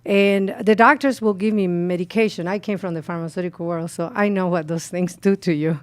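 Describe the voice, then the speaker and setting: A woman's voice: on the low side, fairly even intonation, brisk, clean tone, no room sound. A middle-aged woman from the Dominican Republic with a Spanish accent, earnestly telling her personal testimony as a guest on a talk show. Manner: earnest, heartfelt storytelling with frequent "you know" and soft laughs.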